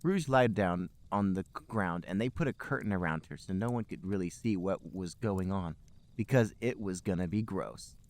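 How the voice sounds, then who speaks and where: A man's voice: deep voice, normal speed. A man hosting a podcast, reading fan fiction aloud for comedy. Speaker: podcast host and reader.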